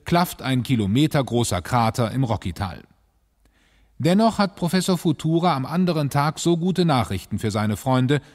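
A man's voice: medium pitch, a little mellow. A man delivering scripted dramatic narration for an audio drama. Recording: long reverb, music under voice.

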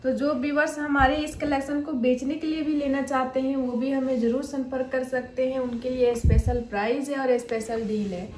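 A high-voiced woman runs through clothing pieces in an even sales patter, calling out to viewers again and again. Her voice rings in a roomy, echoey shop with a faint hum of ambience behind it. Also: normal speed, few pauses. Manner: steady promotional patter addressing viewers. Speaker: shop presenter. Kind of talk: sales pitch showing suits.

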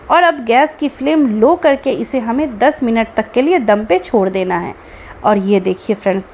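High-pitched woman narrating a recipe. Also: a little mellow, slight background noise. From India. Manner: explanatory, addresses viewers as friends. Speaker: cooking channel host. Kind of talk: recipe narration, step-by-step instruction.

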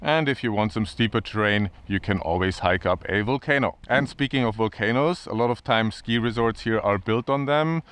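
A man's voice: fairly low pitch, fairly bright tone, clear, resonant tone. A man in his thirties presenting casually to camera on snowy mountain slopes, upbeat and chatty. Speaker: ski vlogger.

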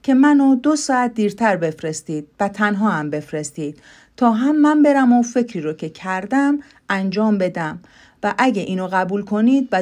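A woman's voice: medium pitch, darkish tone, lightly acted. A woman voicing a clever male rabbit in a children's fairy tale, speaking earnestly and persuasively.

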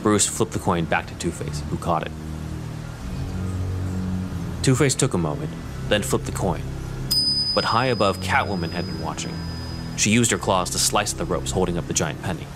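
A male narrator delivers scripted dramatic storytelling. His voice is deep and sits over a prominent musical score. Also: normal speed, pauses often, over loud music.

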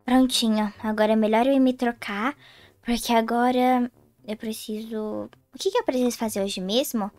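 A woman's voice: on the high side, fairly even intonation, moderate pace, a little mellow, no echo, lightly acted. A woman voicing a naive girl who has suddenly become a young adult woman, chatty and thinking aloud with whiny sighs in a roleplay game story. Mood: musing. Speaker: roleplay player voicing Diana.

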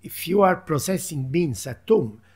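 A man speaking on a conference panel, halting with many restarts, into a table microphone in a large hall. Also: fairly high pitch, lively intonation.

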